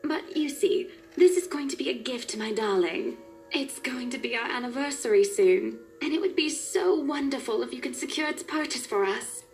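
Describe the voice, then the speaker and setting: A woman's voice: high-pitched, lively intonation, normal speed. A woman voicing a haughty, wealthy socialite wife in a visual novel game, gushing with condescending, sugary politeness. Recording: music playing behind the voice.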